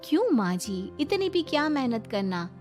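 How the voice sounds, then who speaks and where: A woman's voice: high voice, sing-song, moderate pace, a little mellow, pitched above the performer's own voice. Woman acting young daughter-in-law, outspoken, protective, family storybook, faint background music. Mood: concerned.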